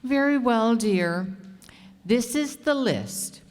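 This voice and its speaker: A woman's voice: on the high side, animated, slow. A woman playing a patient, polite society wife in an old-time radio comedy. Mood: polite, firm. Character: patient, polite society wife gently contradicting her husband. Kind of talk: 1940s radio comedy acting. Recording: reverberant hall.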